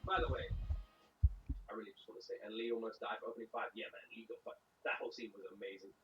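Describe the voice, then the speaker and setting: A man with a sore voice reacting excitedly on a casual stream, swearing and bursting into shouts. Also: fairly low pitch, fast, background noise. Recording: distant muffled stream mic with faint ambience.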